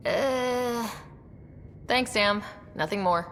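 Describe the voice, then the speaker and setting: A woman's voice: fairly high pitch, drawn-out words, a bit bright, slight background noise. A woman playing a confident, friendly young space explorer in a video game, with an assured, dryly wry manner. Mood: dry amusement.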